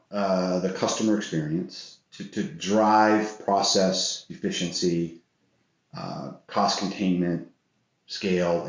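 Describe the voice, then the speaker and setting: A middle-aged American man answering interview questions as a guest, earnest and measured in the way he talks. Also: low-pitched. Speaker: interview guest, business executive. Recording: very echoey room, slightly dull sound.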